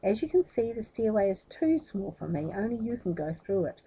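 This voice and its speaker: A woman's voice: mid-range voice, big pitch swings, moderate pace, mellow, slight background noise, low-quality recording. A woman voicing a scheming, greedy male magician who instructs and insists, in a storybook reading. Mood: coaxing, warning.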